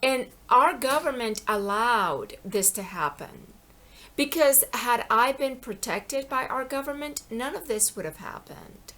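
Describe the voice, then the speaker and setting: A woman's voice: on the high side, expressive, a little slow, pushed and bright. Aggrieved middle-aged woman rambling. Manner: rambling, repeats herself, many "you know"s; aggrieved, pleading with viewers. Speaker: vlogger. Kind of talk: impassioned personal monologue.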